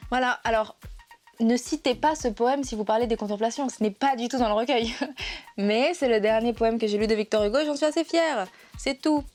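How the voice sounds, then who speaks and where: A woman's voice: a little high, brisk, darkish tone, faint music behind. Woman vlogger, casual lit explainer, hesitant with many fillers, playful. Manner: playful and emotional, laughs often. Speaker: literature video presenter.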